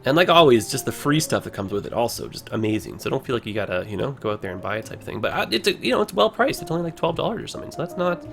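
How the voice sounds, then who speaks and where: A man casually commenting on a game he plays on a livestream, rambling with many fillers, his voice hoarse as he is losing it. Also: medium pitch, big pitch swings, racing, hardly any pauses, a bit bright, faint music behind.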